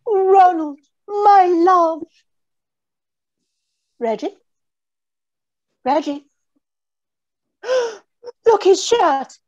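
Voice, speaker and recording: A woman's voice: very high voice, drawn-out words, long pauses, darkish tone, exaggerated voice. A woman playing a distraught, theatrical young lady in an old-time radio mystery. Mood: frantic, horrified. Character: distraught melodramatic young lady fearing for her love. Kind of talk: 1930s radio mystery acting. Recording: dull lo-fi video call.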